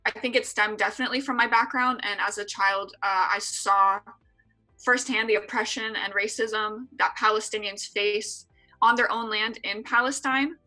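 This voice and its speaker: Twenty-something woman from san diego, bright sharp tone, earnest interview answers, lots of ums. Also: medium pitch, steady tone, normal speed, soft background music, rough recording. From San Diego, California. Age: 20s. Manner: earnest, with frequent "uh" and "um" fillers. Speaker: interview guest, EMT.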